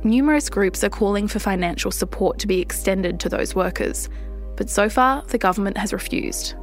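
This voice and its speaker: A woman hosts a news podcast, reading the script in a measured, deliberate way. Her pitch sits in the middle of the range. Faint music plays under her voice. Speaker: podcast host.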